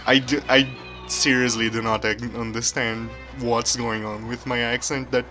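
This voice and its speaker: A soft-spoken man from Brazil, a native Portuguese speaker, chatting calmly while streaming a game. His accent is hard to place and often taken for German. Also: medium pitch, moderate pace. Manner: soft-spoken, quiet and calm. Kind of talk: casual live-stream chat while gaming. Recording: game music playing behind.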